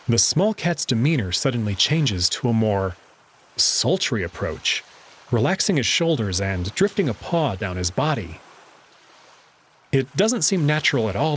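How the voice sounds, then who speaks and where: A man's voice: mid-range voice, a little hiss or hum. A man reading scripted first-person narration from a visual novel. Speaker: narrator and reader.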